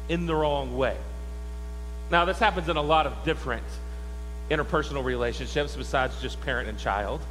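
A man's voice: fairly high pitch, fairly bright tone. A man preaching a conversational sermon in a church, with wry asides and questions to the congregation. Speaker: pastor. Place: church sanctuary. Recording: long hall reverb with faint mains hum.

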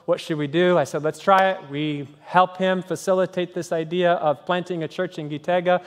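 A man preaching a sermon to a congregation, animated and conversational, often checking in with "right?". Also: sits high, moderate pace, clear, resonant tone, in a large hall. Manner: animated preaching, tag questions and sung snippets. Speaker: pastor. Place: church sanctuary.

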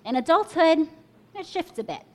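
A woman's voice: very high-pitched, lively intonation, moderate pace, darkish tone. A young woman preaching a sermon, telling a personal story and inviting listeners to imagine along with her. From Kenya and the US.

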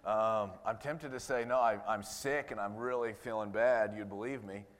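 Man in his thirties, rough voice, preacher, casual sermon full of ums, long church reverb. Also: lowish voice, fairly even intonation, moderate pace. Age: 30s. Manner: conversational with frequent ums and restarts. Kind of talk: sermon with scripture reading.

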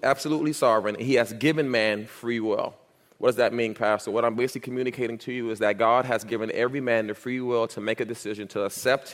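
A man in his thirties from Miami, a youth pastor being ordained, telling his testimony in an earnest, repetitive, emphatic way, with a slight stutter. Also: mid-range voice. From Miami, United States. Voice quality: speech impediment, stutters when nervous. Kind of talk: personal testimony and doctrinal answers in church. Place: church sanctuary. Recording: reverberant church hall.

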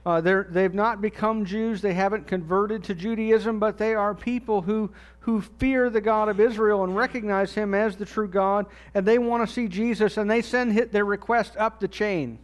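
A man preaching a sermon in a conversational way, dropping low and soft on key phrases. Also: steady tone, normal speed, few pauses, clear, resonant tone. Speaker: pastor.

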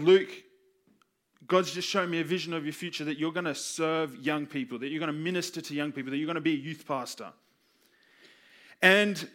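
Young aussie guy doing a sermon, pretty high voice, earnest, repeats stuff for emphasis. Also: a bit bright. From Australia. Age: 20s. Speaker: youth pastor preaching. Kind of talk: sermon, personal storytelling.

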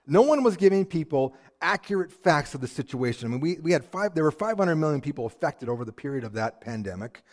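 A male pastor with a somewhat high voice preaches in an animated, storytelling way. He stumbles over and repeats words as he starts sentences. He swings between loud, energetic passages and quiet, hushed emphasis. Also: quick pace, few pauses. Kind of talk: sermon.

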